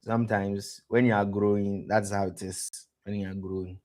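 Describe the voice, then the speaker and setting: A man with a West African accent hosting a live-streamed trading lesson, pausing to ask "what?" and answering himself, often repeating words as he goes. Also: on the low side, little pitch movement, a little mellow. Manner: quizzes listeners with rhetorical "what?" prompts; repeats words and restarts phrases. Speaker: trading educator, stream host.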